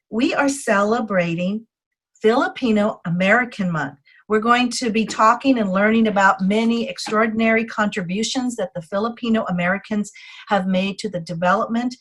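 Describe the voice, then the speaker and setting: A woman hosting a school's morning news show, addressing children in a warm, teacherly way and interviewing a guest. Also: medium pitch.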